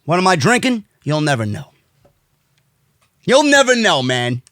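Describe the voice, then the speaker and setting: A man's voice: sits high, sing-song, measured pace, a bit bright, no room sound. A man with a New York accent delivering a brash, profane, indignant rant as an opinionated wrestling commentary host, hammering his points with emphasis. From United States.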